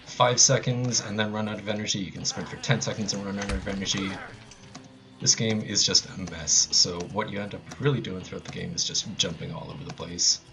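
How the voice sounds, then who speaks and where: A man's voice: low-pitched, background noise. A man with an American accent giving casual, laid-back live commentary as he plays a speedrun.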